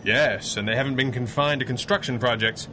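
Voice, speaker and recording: A man acting as a masked hero from a 1930s radio serial, earnest and measured, at a middling pitch. His formal speech has a dry edge, with clear noise behind it. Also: close to an everyday voice. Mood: grave, thoughtful. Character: composed, earnest masked superhero boss, a man.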